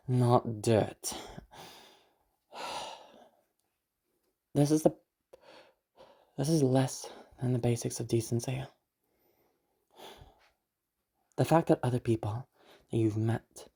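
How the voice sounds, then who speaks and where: Low, creaky-voiced, protective young woman acted. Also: warm tone, heavily acted. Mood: modest, earnest. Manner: reassuring, halting, sighing, casually sweary. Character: protective, mysterious, easygoing big sister escorting a new acquaintance. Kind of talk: intimate audio roleplay addressed to the listener.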